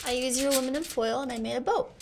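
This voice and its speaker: A woman's voice: high voice, very fast, loud music behind the voice. A woman giving short, casual replies as a helper in a live demonstration.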